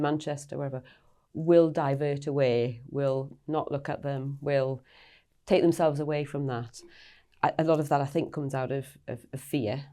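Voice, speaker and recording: A woman hosts a podcast and asks her guests thoughtful questions in a measured way. Her voice is very low for a woman, with a dark, mellow tone. She leads the conversation calmly. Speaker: podcast host. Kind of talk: interviewing guests.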